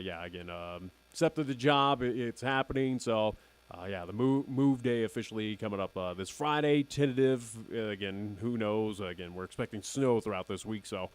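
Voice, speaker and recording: A young man hosting a radio show in casual chat, slightly raspy and nasal, rambling and repeating "again" constantly. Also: fairly low pitch. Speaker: radio host.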